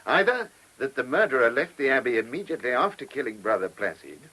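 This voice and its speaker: An actor playing a shrewd, brisk chief inspector in a radio play, questioning people with clipped authority. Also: male voice, medium pitch, very animated, bright, forceful tone, breathy edge, heavily acted, poor audio. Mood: probing. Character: shrewd, brisk male police chief inspector following hunches. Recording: radio drama recording.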